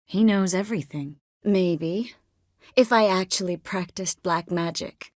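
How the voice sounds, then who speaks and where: A woman playing an adult sorceress in a video game, warm and wry yet resolute, speaking conversationally and often softly. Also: fairly low pitch, unhurried, no room sound, studio quality, close to an everyday voice. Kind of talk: video game dialogue voice acting.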